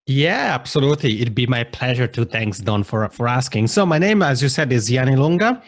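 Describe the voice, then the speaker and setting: Guest, male, 30s, Italian accent, lively pitch, dry close sound. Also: a little fast, dry recording. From Italian-speaking Switzerland. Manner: animated, jumps up in pitch on quoted thoughts. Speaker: podcast guest. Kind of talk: conversational interview.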